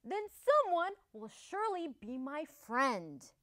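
A woman's voice: high-pitched, big pitch swings, slow pace, a bit bright, no echo, pitched above the performer's own voice, heavily acted. A woman voicing an earnest, sensitive but hot-tempered male wolf in a storybook, theatrical and emphatic. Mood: eager.

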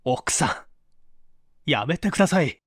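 A reader as an anguished, bitter secretary, animated man. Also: fairly high pitch, measured pace, breathy edge, no echo, clean studio sound, exaggerated voice. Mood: anguished disbelief. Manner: groaning, bitter, dramatic. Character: anguished male secretary, bitter with long-suppressed love.

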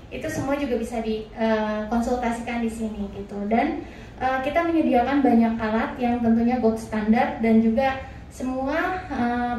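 A high-voiced woman hosts a small clinic event, talking in a chatty way with frequent fillers. She is heard from a distance in a very echoey room, with light noise behind her. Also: a little mellow. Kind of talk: event hosting with mic. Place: clinic showroom, indoors. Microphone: handheld mic, far camera.

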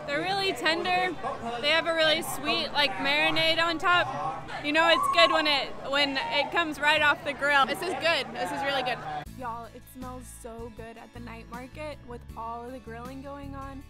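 A woman's voice: high-pitched, fairly even intonation, moderate pace. A young woman hosting a casual food vlog, speaking with upbeat enthusiasm. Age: young adult. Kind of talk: casual travel vlog narration. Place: outdoor night market. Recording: busy outdoor market crowd, music behind.

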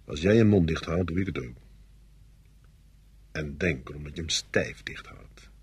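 A man's voice: very deep voice, expressive, unhurried, husky, no room sound, full character voice. An actor playing an arrogant, cowardly army officer in a radio play, condescending and threatening as he bargains. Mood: coldly threatening. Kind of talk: Dutch radio play acting.

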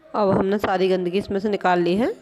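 A woman's voice: medium pitch, fairly even intonation, a little fast, a little mellow. A woman teaching a home cooking recipe step by step in her kitchen, patient and explanatory, often pointing things out as she goes. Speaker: home cooking channel host. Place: home kitchen at a gas stove.

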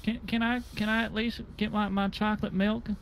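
A man's voice: high voice, level tone, a little fast, vocal fry, slight background noise, low-quality recording. A man playing a dim, childlike deputy, hesitant and eager to please, stuttering through a comedy game roleplay. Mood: naive, timid.

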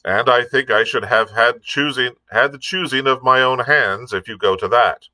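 A man's voice: medium pitch, moderate pace, sharp tone, no echo, pitched above the performer's own voice. A man reading a storybook, voicing a blunt, stern and prickly sea captain in an emphatic, clipped manner. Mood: curt, disapproving. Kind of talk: audiobook character reading.

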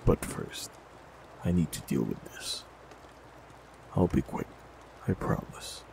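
A man voicing a warlock in an audio roleplay, talking calmly and reassuringly to the listener. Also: low-pitched, takes it slowly, gravelly, noisy background. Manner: calm, gentle, reassuring.

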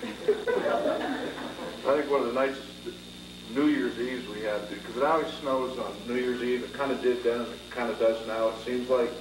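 A man's voice: medium pitch, animated, normal speed. Man telling a rambling story from across a room. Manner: rambling, easygoing anecdotes. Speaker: panelist. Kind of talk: casual storytelling. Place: indoor panel room. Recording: echoey room from far off with background noise.

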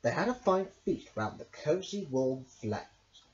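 A man narrating a children's picture book as storyteller, with a theatrical, drawn-out delivery. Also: on the high side, very animated, background noise. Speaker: storyteller, channel host. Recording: dull lo-fi home recording.

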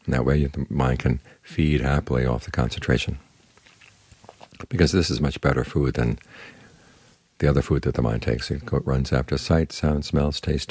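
Very deep, gravelly man, calmly instructive. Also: dry recording. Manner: calm, instructive, plain-spoken. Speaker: Buddhist monk, meditation teacher. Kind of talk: short spoken Dhamma talk guiding meditation.

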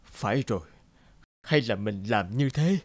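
A man's voice: medium pitch, lively intonation, a little slow, lightly acted. A man voicing a grieving, suspicious old mother in a cartoon fairy tale, plaintive and dramatic. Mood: longing. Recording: loud music behind the voice.

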